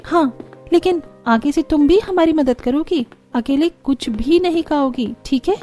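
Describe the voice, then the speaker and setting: A woman's voice: sits high, measured pace, warm tone, faint music behind, pitched above the performer's own voice. A woman voicing a secretive, scheming young daughter-in-law in a cartoon family story. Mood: conspiratorial.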